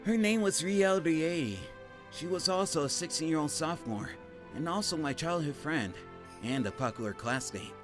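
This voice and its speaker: A man voicing a shy, bookish teenage high school boy in a manga dub, self-effacing and expressive. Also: normal speed, natural voice. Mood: self-deprecating. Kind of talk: manga dub narration and dialogue. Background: background music.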